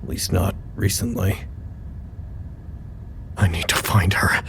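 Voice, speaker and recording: A man's voice: low-pitched, little pitch movement, slow, vocal fry, a bit bright, heavily acted. A man playing a frantic, rambling facility worker in an audio drama, searching and talking theatrically to himself. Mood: desperate, worried. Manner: theatrical, rambling, talks to imagined listeners. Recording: echoey room with faint noise.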